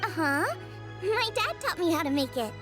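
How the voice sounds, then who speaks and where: A woman's voice: very high-pitched, sing-song, normal speed, loud music behind the voice. A woman voicing a cheerful, eager young girl cook in a video game, speaking in an upbeat, earnest way. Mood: explaining. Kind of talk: animated game voice acting.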